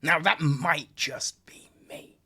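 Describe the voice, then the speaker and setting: A man's voice: a little high, slow, bright, forceful tone, slightly rough. A man giving a casual, unscripted film review as a podcast host, rambling and repeating himself, with frequent check-in tags and informal, chummy phrasing. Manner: rambling, repetitive, peppered with "right?" and "you know"; informal, chummy address, mild swearing.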